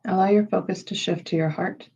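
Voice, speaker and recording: A woman leading a guided meditation in a slow, soothing style, with measured, unhurried phrases and pauses. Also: lowish voice, steady tone, mellow, breathy edge, lo-fi sound.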